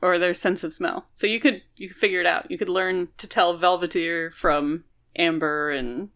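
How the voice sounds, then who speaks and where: A woman's voice: on the low side, steady tone, normal speed, fairly bright tone. A woman co-hosting a podcast, talking casually about a book in a chatty, explanatory and emphatic way. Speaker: podcast co-host.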